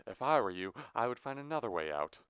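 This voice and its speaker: A man's voice: lowish voice, big pitch swings, quick pace, fairly bright tone, clear, resonant tone, no echo, pitched above the performer's own voice. A man voicing a sinister, courteous audiobook villain who stresses every syllable with menacing glee. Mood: polite menace. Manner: over-enunciated, stressing every syllable evenly. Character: sinister, theatrically courteous male villain, madly gleeful.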